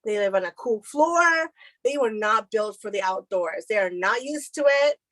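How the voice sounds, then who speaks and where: A chatty woman from El Salvador co-hosts a casual podcast and tells her stories with lively energy. Her voice sits a little high, and it is recorded close and dry with no room sound. She laughs easily between her stories. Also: moderate pace, no room sound. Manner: chatty, animated storyteller who laughs often. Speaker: podcast co-host. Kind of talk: casual chat. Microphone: video call recording.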